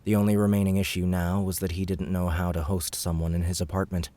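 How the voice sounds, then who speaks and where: A man narrating an audiobook in a measured, even storytelling voice. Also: low voice.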